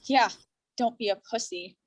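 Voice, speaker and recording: A woman's voice: fairly high pitch, moderate pace, lightly acted. A woman playing a blunt, sarcastic, impatient friend in a staged play reading, dismissive in manner. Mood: exasperated.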